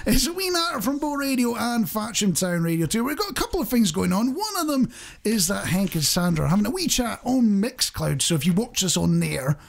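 A man's voice: sits high, very animated, brisk, gravelly. A middle-aged man hosting a radio show with casual, jokey chat, speaking into a studio microphone. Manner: jokey, chuckles often. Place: small indoor radio studio. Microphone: studio microphone on boom arm.

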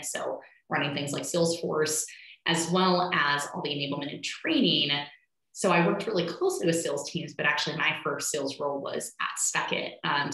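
A woman's voice: sits low, pushed and bright. A woman in her thirties from Switzerland, a startup founder talking fluently and matter-of-factly on a webinar panel over a video call. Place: home room, indoors. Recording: echoey room on a video call.

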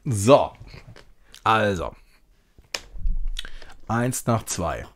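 A man's voice: fairly low pitch, sing-song, very slow, slight background noise. A man giving casual live game commentary as a streamer, thinking aloud in short muttered phrases. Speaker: streamer playing a retro puzzle game.

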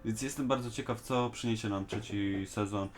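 A man's voice: fairly low pitch, fairly even intonation, moderate pace, faint music behind. A man in a casual fan discussion, speaking colloquially with some slang. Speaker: host of a fan discussion show. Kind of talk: casual chat reviewing an animated series.

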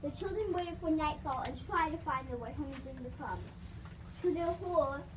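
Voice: sits high, moderate pace. A young narrator reading a scripted fairy tale for a puppet show. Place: classroom puppet theatre. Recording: distant mic, noisy classroom.

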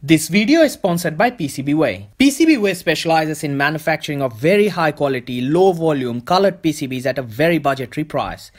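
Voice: medium pitch, animated, fairly quick, hardly any pauses. A narrator presenting a scripted DIY tutorial as the host.

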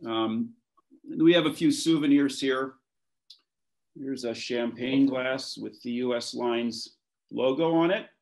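Male, 50s, American, mid-pitched; relaxed storytelling as a guest on a video-call interview. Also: slow. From born in France to American parents, raised between Europe, Washington and West Africa. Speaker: interview guest, maritime professional. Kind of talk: casual reminiscing in a video conversation. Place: wood-beamed room at home, indoors.

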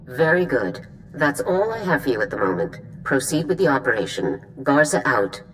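Very low-voiced commanding general. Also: female voice, big pitch swings, normal speed, a little hiss or hum, poor audio. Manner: formal, commanding. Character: stern older female military general giving orders. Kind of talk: video game voice acting, mission briefing.

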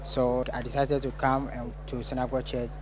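Young Ghanaian man, flat even pitch. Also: a little fast, noisy background. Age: young adult. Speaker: student giving testimony. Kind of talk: interview testimony.

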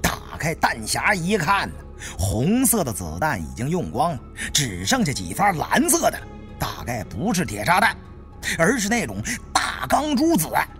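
A male storyteller delivers suspenseful adventure narration in a theatrical style. His pitch swings very widely, and soft music plays behind him. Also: wildly swooping pitch, normal speed. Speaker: audiobook narrator. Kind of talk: dramatic first-person adventure narration.

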